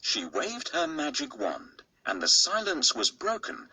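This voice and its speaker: A man narrating a bedtime storybook in a slow, expressive, husky, gravelly storytelling voice. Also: fairly high pitch, poor audio. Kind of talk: bedtime storybook read-aloud.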